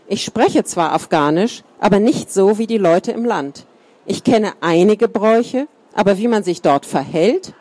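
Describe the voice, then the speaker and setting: Calm woman reading aloud, dry. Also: medium pitch, no room sound. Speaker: reader. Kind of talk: reading a first-person account aloud.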